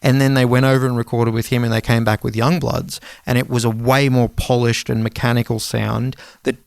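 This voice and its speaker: Smooth-voiced man chatting in a dry room. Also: mid-range voice, level tone, brisk, dry recording. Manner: rambling, swears casually. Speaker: podcast co-host. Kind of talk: casual music podcast chat.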